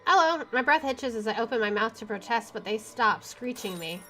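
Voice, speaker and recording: A woman's voice: fairly high pitch, animated, a little fast, fairly bright tone, slight background noise, low-quality recording. A woman streamer reading visual novel narration aloud, expressively, with casual chatty asides. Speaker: video game streamer.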